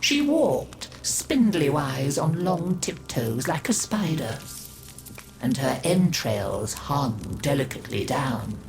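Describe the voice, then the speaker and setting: A woman narrating a creepy fairy tale for a game, dramatic and often sinking into hushed whispers. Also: very deep voice, big pitch swings, slow, faint music behind, heavily acted, rough recording. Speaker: storyteller.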